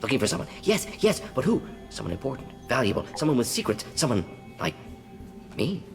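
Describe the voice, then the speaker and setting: An actor playing a male alien scientist who talks in quick clipped fragments and drops his articles. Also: medium pitch, very animated, creaky, faint music behind. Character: fast-talking male alien scientist, twitchy. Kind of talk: video game voice acting.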